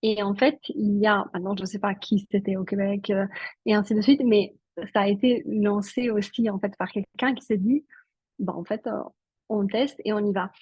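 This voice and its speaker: A chatty woman from Switzerland, a native Italian speaker, talks as a guest in an interview and leans on filler words. Her voice has a husky, slightly breathy edge. The sound is dry and a little dull, as over a video call. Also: medium pitch, fairly even intonation, normal speed, no room sound. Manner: chatty, many fillers like "en fait". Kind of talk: casual interview talk.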